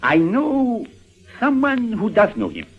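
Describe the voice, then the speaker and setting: A dull, lo-fi old radio drama recording carries a sly, coaxing male con man. His husky voice swoops dramatically up and down as he hesitates and insinuates, always cagey about the deal. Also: high-pitched, wildly swooping pitch, slow pace, full character voice. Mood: cagey, insinuating. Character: wheedling, cagey male con man posing as business middleman.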